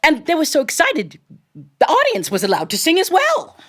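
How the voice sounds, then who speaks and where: A woman telling a story live as a storyteller, with a theatrical, expressive delivery. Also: high-pitched, normal speed. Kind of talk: live storytelling performance for an audience.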